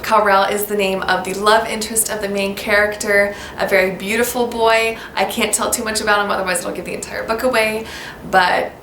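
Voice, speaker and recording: A woman's voice: medium pitch, fairly bright tone. A woman with an American accent, talking casually to camera about baby names, upbeat and gushing, sometimes spelling words out letter by letter. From United States. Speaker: baby-name vlogger. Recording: echoey room with background noise.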